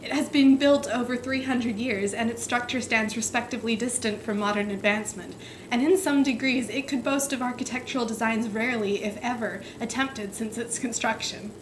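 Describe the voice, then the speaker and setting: A young woman with a high voice reads florid old prose aloud as an amateur. Her delivery is dramatic and giggly, tripping now and then on the long sentences. She is recorded in a room at home with faint noise behind her. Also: steady tone, moderate pace, low-quality recording. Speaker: amateur reader. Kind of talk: dramatic reading of an overwrought novel.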